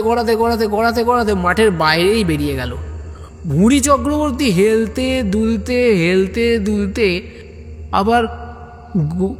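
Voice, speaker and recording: A man's voice: sits high, big-room sound. A man narrating a comic audio story in a dramatized storytelling style.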